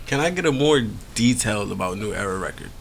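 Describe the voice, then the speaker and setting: A man's voice: lowish voice, expressive, fast. A man working as a radio interviewer, asking casual questions in a studio. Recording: radio studio with clear background noise.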